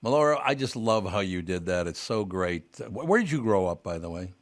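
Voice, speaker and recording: A radio host with a fairly low male voice interviews a guest. He is jokey and effusive, piling on praise. His sentences ramble and restart as he talks. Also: lively intonation, fairly quick.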